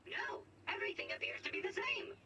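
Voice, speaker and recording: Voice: brisk, sharp tone, distant mic, slight background noise. An actor voicing a polite, fussy burger-flipping robot, with a processed synthetic voice, formal wording and glitchy stutters, in a game audio log. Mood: polite, earnest. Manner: formal, literal, stuttering on words. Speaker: robot assistant.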